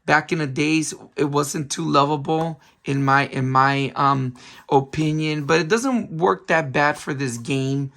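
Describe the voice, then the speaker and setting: A man hosts a casual video game review, his voice worn and hoarse after a cold. He rambles in a friendly way, restarting sentences and leaning on ums, and his pitch sits a little above the usual for a man. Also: a little slow, fairly bright tone. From San Francisco Bay Area. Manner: chatty, many ums, restarts himself. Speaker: retro game collector channel host.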